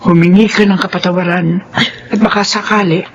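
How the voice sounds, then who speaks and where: A woman's voice: lowish voice, steady tone, fairly quick, muffled recording, slight background noise, natural voice. An actress playing an anxious, loving mother in a Filipino radio horror drama, speaking with a Filipino accent in an emotional, pleading way. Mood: solemn, compassionate.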